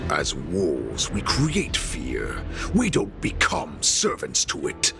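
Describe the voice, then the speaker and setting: An actor playing a ruthless, proud wolf-beast warlord in a video game, speaking with slow menace and grand speeches. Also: male voice, low-pitched, wildly swooping pitch, gravelly, exaggerated voice. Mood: grandiose, commanding. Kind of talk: video game villain acting. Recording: loud music under the voice.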